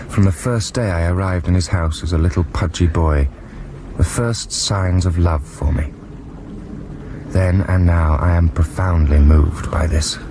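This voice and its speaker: An actor playing an earnest man recalling a perilous expedition, in solemn, reflective radio drama narration. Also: a bass voice. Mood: moved, solemn. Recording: very noisy 1990s radio broadcast.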